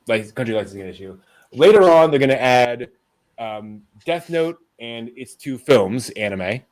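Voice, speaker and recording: A man's voice: medium pitch, animated, unhurried, a little mellow, poor audio. A man hosting a casual news rundown with co-hosts, talking in a hesitant, conversational way. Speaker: host presenting anime news.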